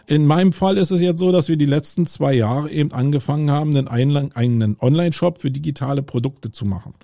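A man's voice: on the high side, fast, creaky, mellow, dry recording, studio quality. A middle-aged man from Germany hosting his own podcast, talking it through aloud outdoors in a city, with many fillers and restarts. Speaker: podcast host. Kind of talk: casual solo podcast monologue. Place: outdoors on a city street with roadwork noise.

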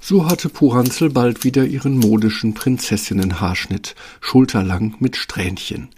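A man's voice: medium pitch, normal speed, a little mellow, breathy edge. A man narrating a children's fairy tale as a storybook narrator, with a wry, tongue-in-cheek touch. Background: music.

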